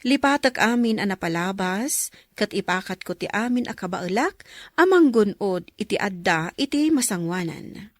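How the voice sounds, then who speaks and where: A woman's voice: mid-range voice, lively intonation, moderate pace, no room sound, clean studio sound. A woman with a Filipino accent reading scripted narration as the announcer of a radio drama program.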